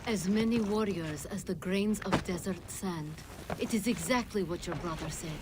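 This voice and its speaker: A woman's voice: fairly low pitch, moderate pace, noisy background, natural voice. A woman playing a mystical spirit queen in a video game, composed and formal with a dry wit. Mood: reflective. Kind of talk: video game cutscene acting. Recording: game soundtrack mix with loud music. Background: loud music.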